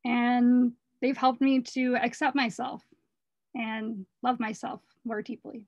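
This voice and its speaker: A hoarse woman talking casually about her art over slides, earnest and rambling, with frequent restarts. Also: fairly high pitch, level tone, slow, no room sound, lo-fi sound. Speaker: artist presenting her work.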